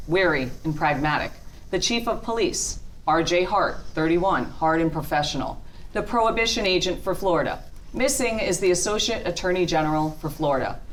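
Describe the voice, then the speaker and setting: A woman's voice: fairly low pitch, rough recording. A woman reading screen directions as scripted narration at a table read. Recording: faint noise and room ambience.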